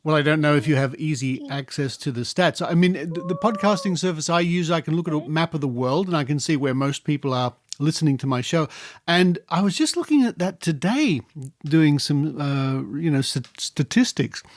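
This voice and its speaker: A man's voice: a little high, animated, normal speed, no room sound, clean studio sound. A middle-aged or older Australian man hosting a casual podcast interview, chatty and genial, playfully repeating phrases. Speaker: podcast host interviewing guests.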